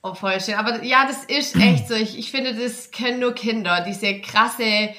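A woman's voice: on the high side, moderate pace, bright, forceful tone. A woman in her late twenties with a Swabian accent, a guest chatting on a podcast, bubbly and enthusiastic, her voice stuffy from a cold. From near Nürtingen, Swabia. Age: 20s. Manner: bubbly, enthusiastic, laughs a lot. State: stuffy nose, worn voice. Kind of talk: casual chat.

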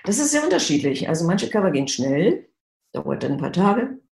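Relaxed woman on an echoey video call. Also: fairly low pitch, fairly quick, gravelly. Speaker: illustrator, interview guest. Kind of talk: casual interview.

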